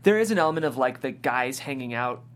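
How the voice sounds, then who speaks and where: A man's voice: mid-range voice, animated, fast talker, a bit bright, faint music behind. A man hosting a casual panel discussion in an enthusiastic, informal way. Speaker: host.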